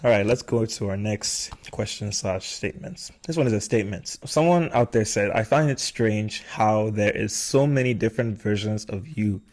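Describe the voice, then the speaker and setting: A man's voice: fairly low pitch, moderate pace, hardly any pauses, a little mellow. A young man hosting a podcast, talking casually in a reflective, explanatory way. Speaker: podcast host.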